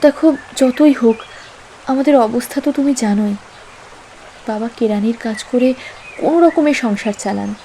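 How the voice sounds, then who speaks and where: An actress playing a sweet, anxious, teasing young girlfriend with a Bengali accent in an animated love story. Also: fairly high pitch, normal speed, long pauses, a little hiss or hum, lightly acted. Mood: tender worry. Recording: music behind the voice. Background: music.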